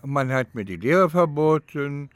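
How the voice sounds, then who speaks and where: An older man from East Germany, a former professor, recalling his life in an interview in a reflective, halting way as he searches for words. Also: a little high, animated, faint music behind.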